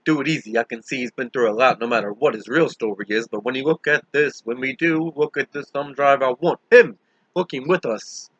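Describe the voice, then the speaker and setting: A man's voice: medium pitch, a little fast, fairly bright tone, dry recording, lo-fi sound, lightly acted. A man voicing an older, heavyset small-town sheriff in an audiobook, folksy and dry, skeptical and used to taking charge. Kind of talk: audiobook character voice.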